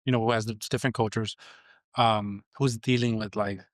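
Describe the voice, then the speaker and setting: A man hosts a relaxed podcast conversation, nudging his guest along with quick agreement and loosely worded questions. His voice sits at an ordinary pitch. The recording is dry and close. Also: no echo. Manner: encouraging, with short agreeing replies and halting questions. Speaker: podcast host. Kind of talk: casual podcast interview.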